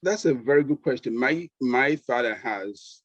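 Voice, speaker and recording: A man's voice: medium pitch, no room sound. Nigerian man, dull dry sound. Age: middle-aged. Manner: relaxed, laughs easily, pauses with fillers. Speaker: guest pediatrician. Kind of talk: conversational interview.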